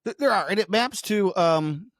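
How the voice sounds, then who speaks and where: A man's voice: sits high, expressive, normal speed, a bit bright, no room sound, studio quality. A man explaining ideas conversationally as a business podcast co-host, emphatic and slipping into imagined customer quotes.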